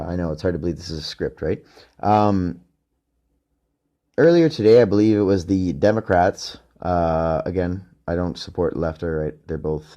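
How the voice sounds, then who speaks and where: Low-voiced man rambling on his podcast. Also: mellow. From Canada. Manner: profane, hesitant, full of restarts. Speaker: podcast host. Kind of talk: rambling monologue.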